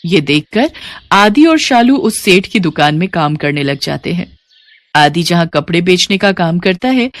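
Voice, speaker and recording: A woman's voice: medium pitch, no room sound. A woman storyteller giving scripted narration of a devotional moral tale.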